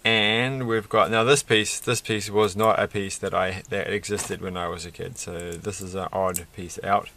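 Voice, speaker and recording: A middle-aged man with a croaky voice, chatting casually and haltingly through a hobby build, often searching for words. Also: low-pitched, fairly even intonation, pushed and bright, slight background noise. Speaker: Lego build video creator. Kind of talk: casual hobby vlog, unscripted commentary while building. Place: indoors at a desk.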